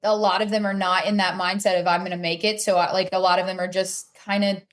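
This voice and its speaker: A woman's voice: medium pitch, flat delivery, fast. A woman speaking casually and emphatically as an opinionated player being interviewed on a sports podcast.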